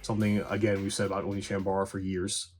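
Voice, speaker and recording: A man giving a casual movie review as a video host, blunt, conversational and quick to swear. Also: low-pitched, completely flat delivery. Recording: music behind the voice.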